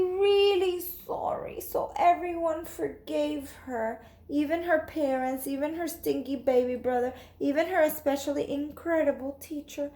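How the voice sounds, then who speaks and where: A woman teacher reading a children's story aloud, expressive and emphatic, speaking to young listeners. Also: high-pitched. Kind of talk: animated storybook narration. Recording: echoey room.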